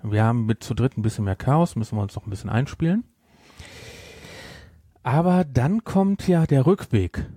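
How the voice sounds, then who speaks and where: A male podcast co-host with a medium-pitched voice. He chats casually but argues emphatically, often repeating himself. The recording is dry and close. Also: normal speed, pauses often, warm tone, dry recording. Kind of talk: casual chat reviewing audio drama.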